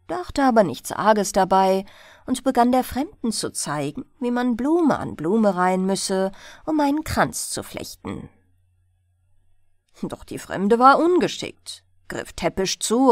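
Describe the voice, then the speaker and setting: A woman narrating a bedtime fairy tale in an expressive storytelling style, as an audiobook reader. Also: on the high side, no room sound, studio quality.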